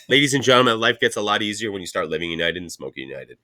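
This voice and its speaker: A man in his thirties hosting a podcast, talking loudly and excitedly, with swearing and hype-heavy sponsor reads. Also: fast. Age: 30s. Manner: loud, hyped, swearing, pitching sponsors. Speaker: podcast host. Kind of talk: casual chat.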